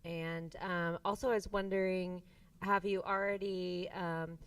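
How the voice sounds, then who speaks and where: A woman judging a youth contest, polite and measured as she asks about planning. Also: on the low side, steady tone, clean tone, far from the mic. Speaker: contest judge.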